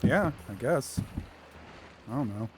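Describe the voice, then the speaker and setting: A man's voice: medium pitch, lively intonation, takes it slowly. An actor playing a downcast, withdrawn teenage boy in casual audio drama dialogue. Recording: faint background ambience noise.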